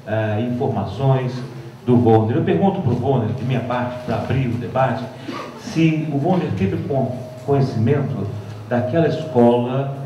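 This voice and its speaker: A man opens the floor to questions in an easygoing way. His voice is mellow and dark. The sound carries a heavy hall echo with faint room noise, and he is picked up from a distance. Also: medium pitch. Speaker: moderator. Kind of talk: moderating a Q&A. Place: large lecture hall. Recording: echoey hall, distant mic.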